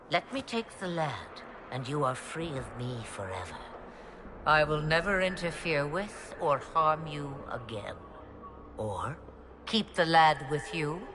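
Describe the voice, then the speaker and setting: A woman's voice: low voice, background noise, exaggerated voice. An actress playing an ancient, imperious witch-mother in a video game, speaking in cryptic, theatrical, archaic phrases with a menacing edge. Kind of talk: dramatic video game voice acting. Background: background music.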